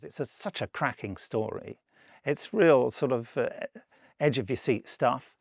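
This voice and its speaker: A man's voice: mid-range voice, measured pace, creaky, darkish tone, no room sound. Enthusiastic man, dry close sound. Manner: enthusiastic, acts out imagined quotes. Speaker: audio drama maker. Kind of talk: interview chat.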